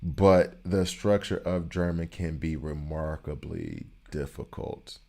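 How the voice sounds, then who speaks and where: A man with a deep, low American voice talks loosely through a gaming session. He rambles and cracks jokes as he picks up questions from his chat, in an easy, unhurried way of a streamer in his thirties. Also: vocal fry, dark tone. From United States. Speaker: streamer chatting with viewers. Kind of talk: casual gameplay chatter.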